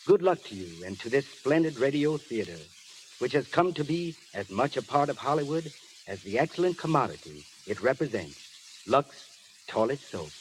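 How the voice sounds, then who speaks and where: A man's voice: mid-range voice, sing-song, normal speed, rough recording. A man as a guest speaker on a 1930s radio show, delivering scripted remarks. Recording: old 1930s radio broadcast, faint noise.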